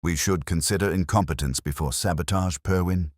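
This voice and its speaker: A man's voice: sits low, fairly quick, no room sound, studio quality, close to an everyday voice. A man voicing a haughty village woman in a storybook mystery, sniffy, clipped and superior. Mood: haughty, offended. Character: haughty, disdainful village woman defending herself. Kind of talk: storybook character dialogue.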